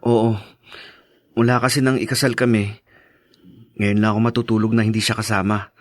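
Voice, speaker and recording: An actor playing a worried, devoted young husband in a radio drama, earnest and concerned. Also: male voice, lowish voice, level tone, normal speed, lightly acted. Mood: uneasy. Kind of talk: Tagalog radio drama acting.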